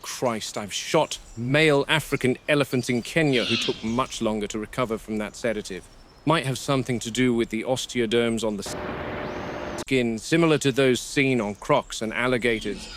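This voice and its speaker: An actor playing a gruff, wary game warden, speaking in a measured, sardonic way as if recording a log for a found-footage horror film. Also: male voice, medium pitch, background noise, lightly acted. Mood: wary, grim.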